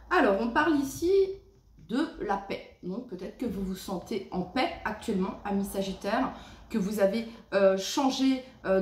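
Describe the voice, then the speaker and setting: A woman giving a casual tarot reading at home, chatty and full of fillers, reading the card texts slowly and gently. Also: medium pitch, roomy sound. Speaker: tarot reader. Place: her home. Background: cleanup noise outside.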